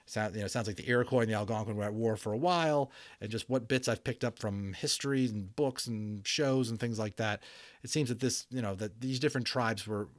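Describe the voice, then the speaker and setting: A man's voice: lowish voice, fairly quick, no echo. Dramatic male storyteller, close dry sound. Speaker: audiobook narrator. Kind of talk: dramatic storybook narration with casual asides.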